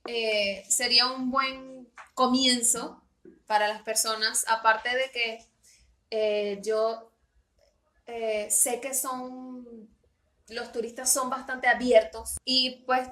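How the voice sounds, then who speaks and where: A woman from Peru co-hosts a relaxed podcast chat, her voice high and bright. She hesitates often and fills her pauses. The room around her rings with echo. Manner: hesitant, many fillers. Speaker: podcast co-host.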